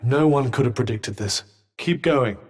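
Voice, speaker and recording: A man's voice: medium pitch, moderate pace, close to an everyday voice. A man voicing a wry talking magic bracelet. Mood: urgent. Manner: wry, teasing yet steady. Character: sardonic talking magic bracelet, male, dry wit. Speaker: video game companion character. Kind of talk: game voice lines.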